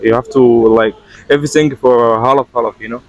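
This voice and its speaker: A man's voice: medium pitch, quick pace, no echo, low-quality recording. A man from Egypt answering a street interview hesitantly, in simple phrases with many fillers. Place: outdoors on a city street.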